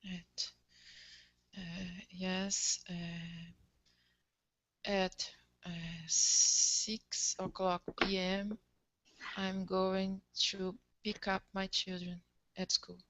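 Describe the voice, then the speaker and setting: A woman who is a non-native English speaker, giving careful, halting answers as a student in a lesson. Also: mid-range voice, flat delivery, fairly bright tone, no room sound, low-quality recording. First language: not English.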